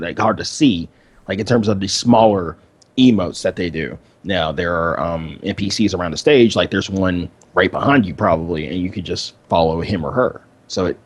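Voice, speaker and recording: A man's voice: low-pitched, breathy edge. A man co-hosting a casual gaming podcast, talking a little hesitantly and often repeating his phrases. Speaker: podcast co-host. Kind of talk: casual game podcast chat.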